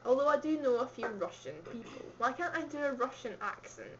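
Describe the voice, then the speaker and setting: A woman's voice: sits high, animated, brisk, lo-fi sound, noisy background. Giggly woman with a slight Scottish accent. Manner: chatty, giggly, rambling. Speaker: vlogger. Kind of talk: casual chat answering a questionnaire.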